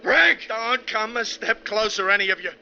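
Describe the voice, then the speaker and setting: An actor in a 1940s radio drama playing a desperate, unhinged killer who poses as a bus driver, menacing and overwrought. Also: male voice, high-pitched, moderate pace, gravelly, pushed and bright, slight background noise, full character voice. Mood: frantic, desperate. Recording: muffled old 1940s radio recording.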